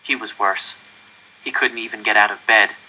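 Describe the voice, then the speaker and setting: A bright-voiced man reading a story. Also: on the low side, fairly even intonation, normal speed, rough recording. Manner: earnest, dramatic first-person storytelling. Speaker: audiobook reader. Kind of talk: reading a novel aloud.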